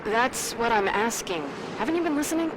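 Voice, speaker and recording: A woman's voice: a little high, lively intonation, brisk, background noise, natural voice. A woman playing an earnest, analytical super-soldier in a video game, questioning what she finds. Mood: puzzled, insistent. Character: earnest, inquisitive female armoured super-soldier. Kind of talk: video game cutscene acting.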